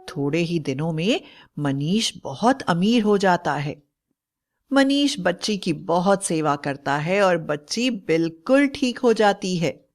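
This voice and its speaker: Slow-paced female story narrator. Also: on the low side, lively intonation, slight background noise.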